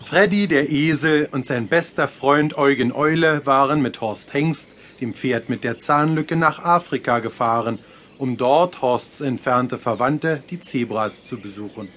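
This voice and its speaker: A man narrating a children's audio story in a measured, scripted storytelling style. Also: medium pitch, fairly bright tone, a little hiss or hum, lightly acted.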